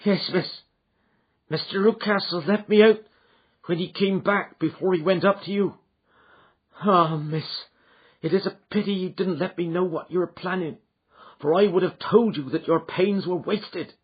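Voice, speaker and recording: A man's voice: high-pitched, a little slow, breathy edge, pitched above the performer's own voice. A man playing a tall, gaunt female servant who speaks earnestly and plainly, on a dull recording. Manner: earnest, forthright.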